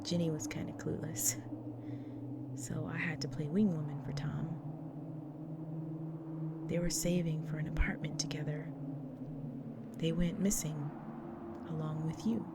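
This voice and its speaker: A woman playing a gentle, protective vampire wife in an intimate audio roleplay, speaking tenderly in a breathy, near-whispered voice. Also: sits low, steady tone. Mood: somber, gentle. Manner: tender, slow, soothing. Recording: noisy track with loud ambient sound.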